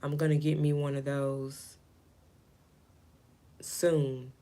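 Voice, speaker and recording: Soft-spoken woman reading tarot, low calm voice. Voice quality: low, light, calming voice. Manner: soft, low and slow, talking to spirit and the client. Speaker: tarot reader. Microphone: camera mic, no lapel mic.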